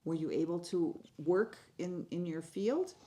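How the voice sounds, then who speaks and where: A woman interviewing a guest for an oral history project at a library, asking plain, measured questions. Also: lowish voice, animated, warm tone. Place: public library.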